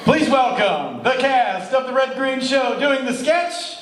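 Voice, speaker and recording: A man's voice: extremely high pitch, sing-song, measured pace, exaggerated voice. A man playing a genial, dry-witted radio producer sidekick in comic banter on a live stage. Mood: wry. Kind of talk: old-time radio comedy sketch banter. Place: large hall, live amateur stage show. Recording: echoey hall, clear background noise.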